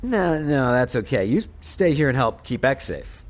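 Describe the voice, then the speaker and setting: A man playing a steady, dry starship captain in an audio drama, brisk and matter-of-fact. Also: medium pitch. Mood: commanding.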